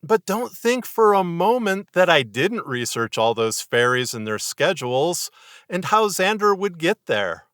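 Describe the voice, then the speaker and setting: A man narrates a scripted lesson on writing in a bright, forceful voice. The sound is very dry and close. He is articulate and measured, stressing his points like a podcaster who writes fiction. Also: high voice, sing-song, clean tone, dry recording. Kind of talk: scripted instructional narration to camera.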